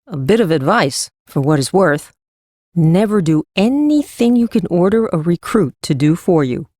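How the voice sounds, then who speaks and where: Brisk, wry woman trainer. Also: lowish voice, very animated, dark tone, dry recording. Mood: brisk, advising. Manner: brisk, matter-of-fact. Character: brisk, wry woman horse trainer, seasoned and dry-humored. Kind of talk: full-cast audiobook character acting.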